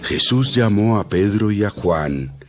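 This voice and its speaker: A man narrating scripture in a measured, solemn storytelling manner for an audio drama. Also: fairly low pitch, animated.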